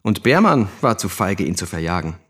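A man plays an observant studio witness with a lowish voice. He narrates in a matter-of-fact, mildly mocking tone for a radio drama. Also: big pitch swings, normal speed, fairly bright tone, breathy edge, full character voice. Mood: wry.

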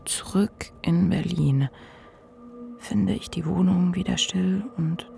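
An actress playing the despairing, drained inner voice of a suicidal person in a radio drama, whispering in a hushed, fragile, intimate way. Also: female voice, on the low side, steady tone, slow, music in the background, full character voice. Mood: quiet grief. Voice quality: breathy, whispered.

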